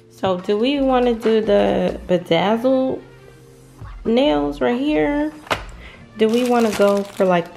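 A woman's voice: medium pitch, gravelly, a little mellow. A woman, chatting casually to her viewers in a home vlog and calling them y'all. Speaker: vlogger. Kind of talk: casual vlog chat to camera. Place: home rooms and a parked car.

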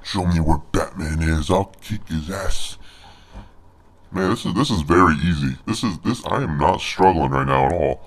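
A man's voice: deep voice, normal speed. A man doing snarky gameplay commentary as a boastful, crude monster brute. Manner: cocky, crude trash talk. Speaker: gaming video host. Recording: home recording with noisy game sound. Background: fighting game sound effects.

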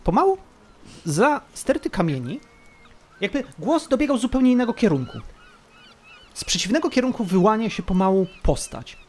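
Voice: medium pitch, sing-song, moderate pace, long pauses, darkish tone. A game master narrating a tabletop role-playing game.

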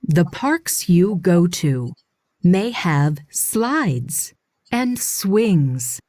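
Woman narrator for children's nonfiction read-along; extremely slow, animated intonation, dry studio sound. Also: on the low side, no room sound. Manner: deliberate, expressive phrasing for young readers. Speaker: audiobook narrator.

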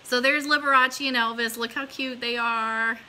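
A middle-aged woman hosts a livestream from a small room with an informal, enthusiastic manner. Her voice is fairly high and very bright, with a light hiss in the recording. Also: moderate pace. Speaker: host of an online radio show. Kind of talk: chatty livestream hosting, partly reading an article aloud. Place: small room indoors at home or office. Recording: steady background hiss.

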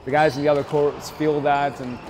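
A middle-aged man with a Scottish accent explains his sport in a calm, considered interview. Clear background noise runs under his voice. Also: a little high, a little fast. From Scotland. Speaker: head squash coach.

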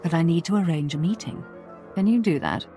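Give me a woman as a vain sci-fi game villainess, low, silky and imperious, faint music behind. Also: fairly quick, dark tone, natural voice. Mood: cool, sly. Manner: haughty, silky. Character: vain, seductive, haughty female Sith villain. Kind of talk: video game acting.

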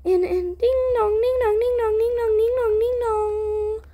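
A woman's voice: very high-pitched, fairly even intonation, a little slow, warm tone, slight background noise, pitched above the performer's own voice. A woman voicing a whiny injured child in a cartoon roleplay, wailing shrilly for help. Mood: pained, wailing.

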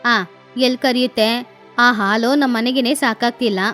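A woman's voice: on the high side, steady tone, a little slow, a bit bright, faint music behind. A woman voicing a lazy, jealous mother crow who mutters and grumbles sulkily. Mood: grumbling jealous. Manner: sulky, muttering to herself.

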